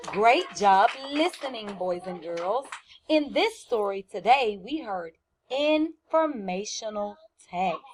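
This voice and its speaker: A woman teaching first graders through a read-aloud, speaking deliberately and with emphasis, often questioning the children. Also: medium pitch, sing-song.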